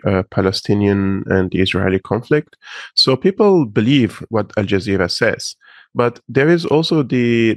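A young man from Syria, Arabic and Armenian his first languages, answering interview questions in a measured way with frequent pauses. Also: lowish voice, no echo. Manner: measured, many pauses and "uh" fillers. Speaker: guest analyst.

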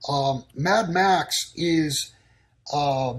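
Blunt, higher-pitched man giving warnings. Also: very slow. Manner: blunt, declarative warnings in short sentences. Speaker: guest. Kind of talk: casual talk-show answers. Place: in Texas.

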